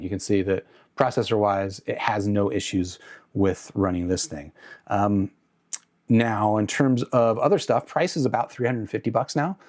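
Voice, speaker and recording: Low-pitched man giving a casual review. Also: darkish tone. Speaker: tech reviewer and channel host. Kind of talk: casual product review to camera.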